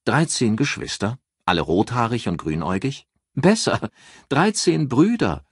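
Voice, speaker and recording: Countess for audiobook, played by male narrator: amused, teasing storyteller, lively, raised voice with husky edge. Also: medium pitch, measured pace, no echo, studio quality, pitched above the performer's own voice, heavily acted. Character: amused, chatty countess telling an anecdote, adult woman. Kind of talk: audiobook character dialogue.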